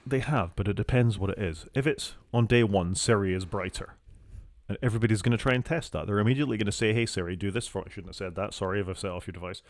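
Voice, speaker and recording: Scottish guy chatting tech on a podcast, low voice, dry close sound, kinda wry, trails off quiet. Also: fast, no echo. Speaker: podcast co-host. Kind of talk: casual tech chat.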